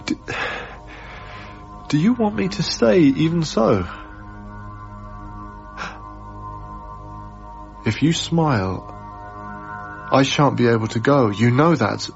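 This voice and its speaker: Troubled young lodger, radio drama. Unhurried, fry-edged, halting, brooding; music under a dulled broadcast. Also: male voice, mid-range voice, lively intonation, highs cut off. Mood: tender, yearning. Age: young adult. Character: troubled young male lodger, tender and haunted. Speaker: actor. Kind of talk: radio drama acting, intimate monologue.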